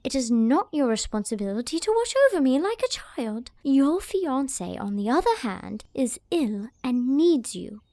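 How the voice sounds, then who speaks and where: Actress as a bold, breezy young woman, bossy, high and very lively voice. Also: normal speed, hardly any pauses, dry recording. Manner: breezy, commanding, dismissive. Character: lively, strong-willed young woman, dreamy sleepwalker. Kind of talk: audio drama acting.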